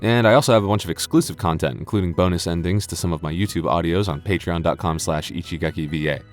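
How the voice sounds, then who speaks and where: Man putting on an obsessive conspiracy investigator, deep voice, excitable, halting, comedic host skit. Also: faint music behind, natural voice. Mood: playful. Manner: excitable, halting, mock-urgent. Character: obsessive, sleepless male conspiracy investigator in comic outro. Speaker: roleplay audio channel host. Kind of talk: comedic outro skit to listeners.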